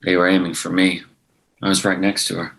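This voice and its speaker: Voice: deep voice, flat delivery, brisk, vocal fry, a bit bright. An actor reading a defiant young ranch heir at a screenplay table read, moving from pleading to fierce commands. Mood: tense.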